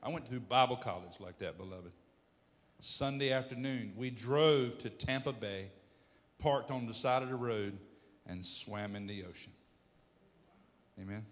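A pastor in his fifties preaching a sermon, emphatic, swinging from loud bursts to hushed storytelling. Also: medium pitch, measured pace, pauses often, long reverb tail.

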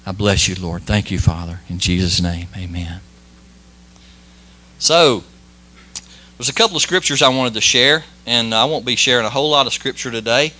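A man delivers a homespun sermon, his voice bright and forward. He talks plainly and modestly, circling back over his words with frequent restarts and filler sounds. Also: medium pitch, expressive. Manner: halting and folksy, many restarts and fillers. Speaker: lay preacher. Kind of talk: informal church sermon with prayer.

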